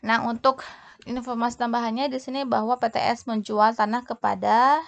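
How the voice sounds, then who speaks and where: A woman explaining as an accounting tutor, in a halting, hesitant delivery with drawn-out words. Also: fairly high pitch, little pitch movement, vocal fry.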